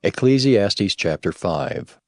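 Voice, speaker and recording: A man reads scripted chapter headings at a very slow pace. His voice is fairly low. The sound is dry, with no room echo. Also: lively intonation. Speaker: chapter announcer.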